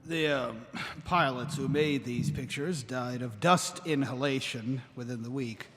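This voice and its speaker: An actor playing a sober, clinical scientist giving a measured briefing in a live audio drama. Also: male voice, mid-range voice, music in the background, natural voice. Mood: clinical, grave. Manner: measured, lecturing. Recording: echoey hall, clear background noise.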